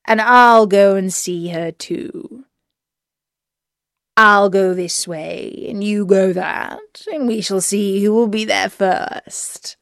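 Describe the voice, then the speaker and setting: A woman voicing a sly, hungry male wolf in a storybook, coaxing and predatory, with drawn-out theatrical phrasing. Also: medium pitch, dry recording, studio quality. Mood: sly, scheming.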